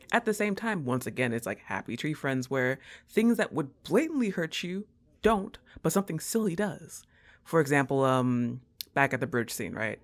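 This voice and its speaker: A woman playing a laid-back, teasing young goth roommate in an intimate ASMR roleplay, talking in an unhurried, conversational way to her crush. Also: low voice. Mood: animated, incredulous.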